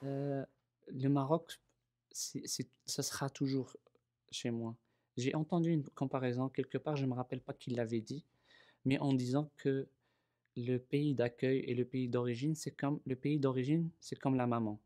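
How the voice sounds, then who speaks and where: A man with a Moroccan accent speaks in a recorded interview, his voice mellow and mid-pitched. He hesitates often, repeating words while he searches for the next one. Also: steady tone, moderate pace. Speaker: oral history interviewee.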